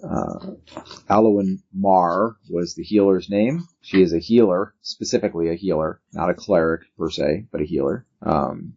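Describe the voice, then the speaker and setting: A man working as game master, narrating a tabletop role-playing game in a halting way, thinking aloud as he goes. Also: low-pitched, darkish tone. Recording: dull, lo-fi sound.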